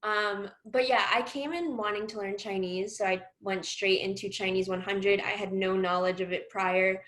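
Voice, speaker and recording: A woman's voice: medium pitch, fairly even intonation, normal speed, fairly bright tone. Young woman, from Peru, mid-range voice, enthusiastic, informal, slangy, student panel talk. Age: young adult. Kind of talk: informal personal account on a panel.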